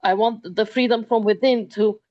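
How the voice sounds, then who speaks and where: A woman, hosting a talk in a thoughtful way, pausing with many ums. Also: a little high, fairly even intonation, highs cut off. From Transylvania, Romania. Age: 50s. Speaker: interview host. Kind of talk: moderated talk.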